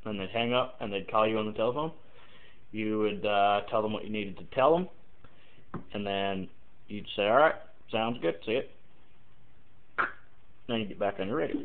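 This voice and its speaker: A man with a fairly low voice comes through a narrow, phone-like recording. He explains things casually and haltingly, thinking aloud as he goes, and slips into example radio calls. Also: smooth. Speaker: amateur CB radio tutorial maker. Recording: muffled, tinny phone-like lo-fi audio.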